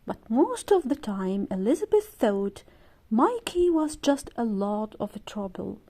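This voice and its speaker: A woman reading a children's story aloud in an expressive, deliberate way for young listeners. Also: on the high side. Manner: animated character voices, deliberate phrasing.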